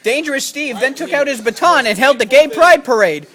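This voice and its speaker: High voice, bright and sharp tone, improvised comic storytelling, mock storybook delivery, profane absurd humor. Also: expressive, a little fast.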